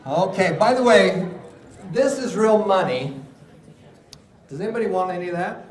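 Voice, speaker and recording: A man presenting a live seminar, joking with the audience and calling up volunteers in an energetic way. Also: high voice, moderate pace. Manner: energetic, joking, drives the crowd. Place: large hall with live audience. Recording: echoey hall with crowd noise.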